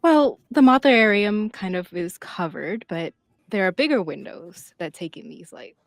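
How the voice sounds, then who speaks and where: A woman game master improvising narration for a tabletop roleplaying game, gentle, halting and encouraging. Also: mid-range voice, expressive, dry recording.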